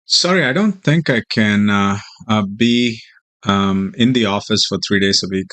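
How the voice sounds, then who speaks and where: Low-pitched man presenting a demo. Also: measured pace, a bit bright. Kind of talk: informal tutorial walkthrough.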